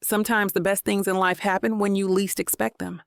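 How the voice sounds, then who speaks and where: A woman voicing a lively, supportive best friend in an audiobook, bright and encouraging. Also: medium pitch, fairly quick, gravelly, no room sound, clean studio sound, lightly acted. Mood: reassuring. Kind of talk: audiobook character dialogue.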